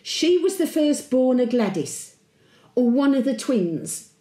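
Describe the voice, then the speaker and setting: Actress doing ancient brummie widow, chatty and sentimental, soft mellow voice, bit of room echo. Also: female voice, high voice, big pitch swings, faint music behind, heavily acted. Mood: wistful, chatty. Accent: Birmingham. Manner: homely, confiding, unhurried. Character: 101-year-old widowed Brummie great-grandmother, chatty, sentimental, plain-spoken. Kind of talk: dramatic monologue, intimate storytelling.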